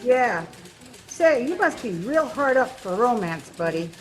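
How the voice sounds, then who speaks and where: A woman's voice: on the high side, very animated, unhurried, poor audio. A woman playing a brassy, offhand post office clerk in an old-time radio detective drama.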